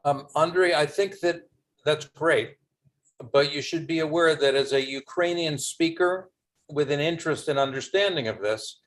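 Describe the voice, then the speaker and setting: A man speaking in a measured, careful way, advising a volunteer on a video call. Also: a little high.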